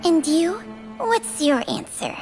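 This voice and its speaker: Slow, solemn ancient snake spirit, high-voiced. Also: female voice, big pitch swings, full character voice. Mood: weary, resigned. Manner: measured, solemn, world-weary. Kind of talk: dramatic game voice acting. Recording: loud music behind the voice. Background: music.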